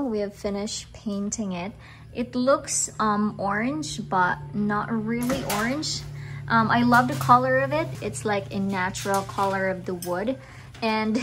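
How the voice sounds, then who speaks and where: A woman's voice: mid-range voice, slight background noise. A young woman in her twenties from Cebu with a Filipino accent, her first language Bisaya, chatting casually to a handheld camera at home. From Cebu, Philippines. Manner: relaxed, chatty, says "um" and "like" often. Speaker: vlogger. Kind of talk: casual vlog chat. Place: rural house in the Philippines, indoors and outdoors. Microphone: handheld camera held at arm's length.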